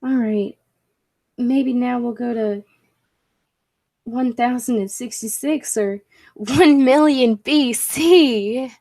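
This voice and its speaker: A bold professor of invention acted by a woman, absorbed in daring experiments. The voice is fairly high and very unhurried, commanding and theatrical in the manner of 1940s radio drama. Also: dark tone. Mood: intent. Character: Dudley, bold, absorbed scientist and professor inventor of a time machine. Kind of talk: 1940s-style radio play acting.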